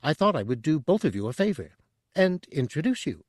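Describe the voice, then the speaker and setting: A man voicing a small, squeaky, nervous mouse-like male alien who stammers eagerly. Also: sing-song, fairly quick, no room sound, clean studio sound.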